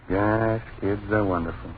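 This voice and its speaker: An actor playing an anxious young father who tries to soothe his family in an old-time radio horror drama. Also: fairly low pitch. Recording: muffled, hissy old radio drama recording.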